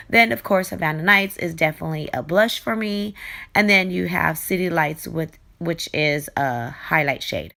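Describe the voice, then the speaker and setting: Hoarse, gravelly woman vlogger. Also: fairly low pitch, a bit bright. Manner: chatty, fond, rambling product talk with fillers. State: hoarse, losing her voice from a cough. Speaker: beauty vlogger. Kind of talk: casual unboxing chat.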